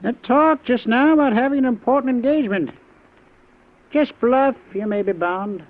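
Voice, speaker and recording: An actor in an old-time radio drama playing a practical, skeptical bystander who talks in a brisk, colloquial way. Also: male voice, very high-pitched. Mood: dismissive. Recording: tinny, narrow old radio recording.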